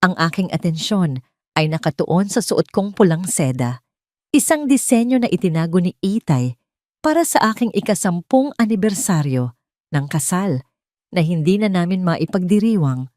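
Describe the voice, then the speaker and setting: Low-pitched woman narrating a drama. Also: expressive, moderate pace, a little mellow, no echo, studio quality. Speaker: story narrator. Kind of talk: dramatic first-person scripted narration.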